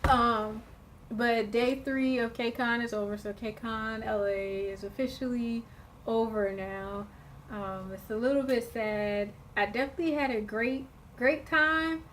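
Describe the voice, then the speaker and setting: A chatty woman talks through a casual recap vlog, her voice a bit hoarse and worn from screaming. She sits on the higher side of the usual range and rambles along with lots of fillers and restarts, with a faint hum of the room behind her. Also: steady tone, unhurried, fairly bright tone. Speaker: vlogger.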